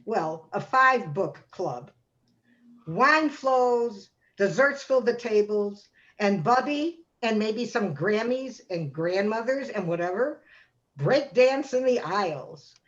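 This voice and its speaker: A woman's voice: mid-range voice, animated, slow pace. A woman in amateur reader's theater, playing a festive, boastful holiday with wry humor, over a video call. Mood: festive boasting. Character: festive, boastful dancing Torah holiday, life of the party. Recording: dull lo-fi video-call audio.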